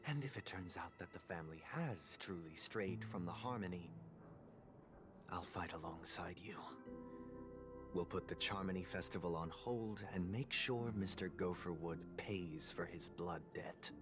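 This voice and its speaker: A composed young lord from a video game. His voice sits low and he speaks formally and earnestly, weighing each phrase. Music plays clearly behind him. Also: a little slow. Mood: solemn, resolute. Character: composed, formal young head of a powerful family, grave. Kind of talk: scripted video game voice acting.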